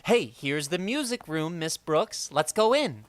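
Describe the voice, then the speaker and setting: Casting: male actor for an eager high-school lad in vintage radio comedy; boyish, very lively delivery. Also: unhurried, heavy background noise. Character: eager, friendly teenage schoolboy. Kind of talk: old-time radio comedy acting.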